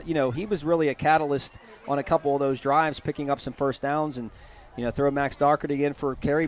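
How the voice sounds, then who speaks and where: A man calling a high school football broadcast from the press box, chatty and energetic, and losing his voice. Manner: chatty, energetic, reads scores quickly. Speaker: play-by-play broadcaster. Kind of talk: postgame sports commentary.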